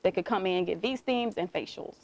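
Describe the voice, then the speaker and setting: A woman from Miami, a guest esthetician, talking in a relaxed, chatty way with many fillers. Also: medium pitch, brisk, vocal fry, rough recording. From Miami, South Florida. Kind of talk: casual interview chat.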